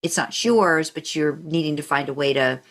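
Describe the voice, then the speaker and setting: A woman's voice: sits low, normal speed. A woman hosting a casual interview, phrasing her questions in a tentative, meandering way. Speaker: podcast host, interviewer.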